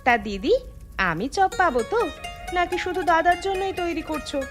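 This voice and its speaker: A woman's voice: very high voice, very animated, moderate pace, over music. A woman voicing an eager, nosy village housewife in a cartoon, chatty and gushing. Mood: playful complaining. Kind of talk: animated cartoon dialogue.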